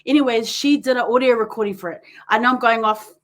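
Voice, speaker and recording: A woman with a New Zealand accent hosting a podcast, chatty and quick to laugh, often wandering off on tangents. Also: a little high. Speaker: podcast host. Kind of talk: casual chat.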